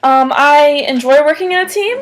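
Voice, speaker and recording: A young woman in her twenties with a slightly high voice gives conversational answers in a phone job interview. She speaks casually, peppering sentences with "like" as she explains herself. She is picked up by a webcam at desk distance in a small room. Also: normal speed. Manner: casual, frequent "like" as filler. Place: small room at home, indoors.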